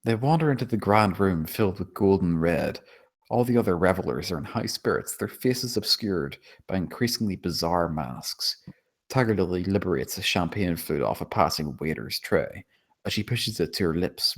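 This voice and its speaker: A man's voice: deep voice, lively intonation, normal speed, breathy edge. A man narrating a screenplay, reading out stage directions at a table read.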